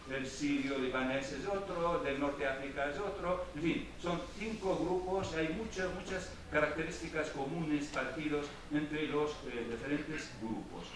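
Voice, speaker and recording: A man whose first language is Arabic, giving a university lecture with hesitant pauses and restarts. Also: fairly high pitch. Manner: hesitant, with many fillers and restarts. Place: lecture hall. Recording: echoey hall, mic far off, noisy.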